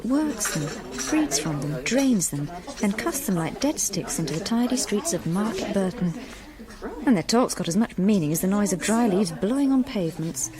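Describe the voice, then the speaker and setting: Casting: actress for bitter, watchful farmer's wife, radio drama, very lively intonation, crowded room behind. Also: female voice, medium pitch, normal speed, lightly acted. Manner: brooding, sardonic. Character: hard-working farmer's wife, weary, bitter, sharply observant. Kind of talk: radio drama inner monologue and dialogue. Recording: loud chatter of a gathering behind.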